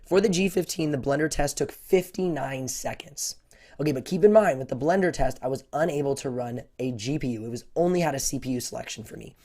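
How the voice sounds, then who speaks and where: A man with a voice hoarse from a cold, answering viewer questions on a casual livestream, rambling with lots of fillers. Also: mid-range voice, fairly quick, dark tone. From United States. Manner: rambling, many fillers and restarts. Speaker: tech YouTuber hosting a livestream Q&A.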